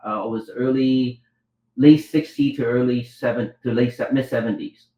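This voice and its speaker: A man's voice: medium pitch, fairly even intonation, normal speed, warm tone, roomy sound, poor audio. A middle-aged man from Vietnam, a doctor and author telling his life story as an interview guest, with many restarts, acting out the dialogue. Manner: rambling, restarts, acts out quoted dialogue.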